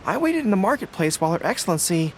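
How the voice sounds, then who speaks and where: A man voicing an earnest, loyal young general in a game, polite and quick to apologize. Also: sits high, sing-song, a little hiss or hum. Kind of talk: game voice acting.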